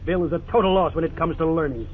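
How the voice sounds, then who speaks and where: A man's voice: a little high, quick pace, natural voice. An actor playing a harsh, snobbish, domineering husband and father in a 1950s radio drama. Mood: scornful, angry. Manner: domineering, scornful, clipped orders. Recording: scratchy, tinny 1950s radio recording.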